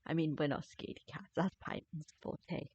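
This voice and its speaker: A woman's voice: low-pitched, fairly even intonation, normal speed, darkish tone, breathy edge. A woman giving casual live gameplay commentary, chatty and informal, often muttering reactions to herself. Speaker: game streamer. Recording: slightly dull stream mic.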